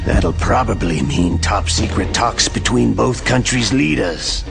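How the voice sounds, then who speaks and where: An actor playing a shrewd, wary terrorist henchman in a video game, with a gravelly, measured delivery. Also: on the low side, music in the background. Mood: calculating.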